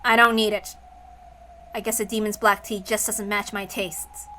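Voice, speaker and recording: A woman's voice: medium pitch, little pitch movement, moderate pace, bright, forceful tone, a little hiss or hum, voice pushed down, lightly acted. A woman voicing a haughty young woman who speaks in a curt, dismissive, displeased way. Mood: bored, displeased.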